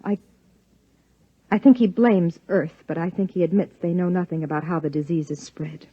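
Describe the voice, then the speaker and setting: An actress playing an anxious, probing wife who narrates a 1950s American science fiction radio play in a measured, dramatic way. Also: female voice, on the low side, steady tone, slightly rough, no echo. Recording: dull vintage radio recording with music.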